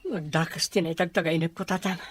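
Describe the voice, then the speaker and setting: An actor playing an anxious, devoted young fiancé, emotional and fretful, in an Ilocano radio drama. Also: male voice, fairly high pitch, steady tone, quick pace, a little hiss or hum, lightly acted. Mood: worried.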